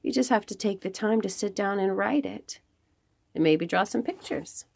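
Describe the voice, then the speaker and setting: A woman reading aloud to young children as a storytime host, expressive, with dramatic emphasis and pauses. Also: mid-range voice, gravelly.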